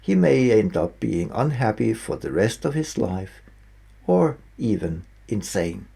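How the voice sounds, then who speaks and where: A man narrating his own written book as an author, with deliberate, emphatic phrasing broken into short pieces. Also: lowish voice, big pitch swings, vocal fry, mellow, slightly rough. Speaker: author reading own audiobook. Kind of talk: scripted audiobook narration.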